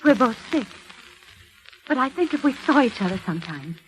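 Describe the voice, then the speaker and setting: An actress playing an anxious wife and pianist in 1940s radio drama, intense, insistent and imploring. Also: female voice, on the high side, big pitch swings, normal speed, faint music behind. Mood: confiding, urgent. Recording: noisy old 1940s radio recording.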